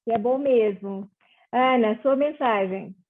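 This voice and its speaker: A woman from Portugal with a Portuguese accent tinged with Brazilian tones, moderating a panel in an earnest, measured way with small hesitations. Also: a little high. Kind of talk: reflective panel talk. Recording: phone-like video call audio.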